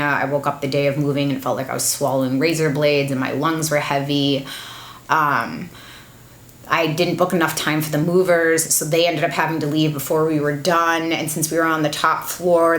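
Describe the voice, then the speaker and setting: A young adult woman giving a blunt, monotone life update to her camera at home, with fillers along the way. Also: sits low, echoey room. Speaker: beauty YouTuber. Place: room at home, echoey. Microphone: camera with ring light.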